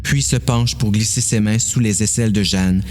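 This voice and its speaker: A man's voice: fairly low pitch, steady tone, normal speed, a little mellow. A man with a Quebec French accent narrating a dramatised horror story.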